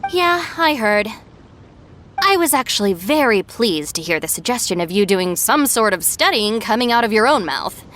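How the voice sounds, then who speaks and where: A woman's voice: on the high side, sing-song, normal speed, a bit bright. A woman playing a sensible, wry young second-in-command of a gang in a video game, matter-of-fact and polite. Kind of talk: animated video game voice acting.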